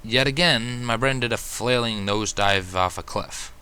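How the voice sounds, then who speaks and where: A man narrating first-person fan fiction in an expressive storytelling style. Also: mid-range voice, moderate pace, smooth.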